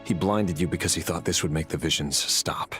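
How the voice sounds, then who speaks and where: Grim, low-voiced knight. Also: male voice, fairly bright tone. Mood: stern, guarded. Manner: measured, formal, dryly menacing. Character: grim, sardonic male knight turned palace conspirator. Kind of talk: video game voice acting. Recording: game dialogue with music behind.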